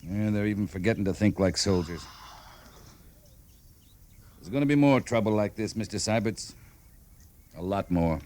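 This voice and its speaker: An actor playing a firm, dry-humored cavalry captain in an old-time radio western, plain-spoken and clipped. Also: male voice, on the low side, moderate pace, long pauses, a little mellow, slight background noise, close to an everyday voice. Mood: dry, concerned.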